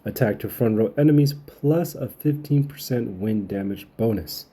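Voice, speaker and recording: A man's voice: medium pitch, normal speed, mellow, husky. A man getting over a cold, giving casual, rambling video game update commentary as a channel host.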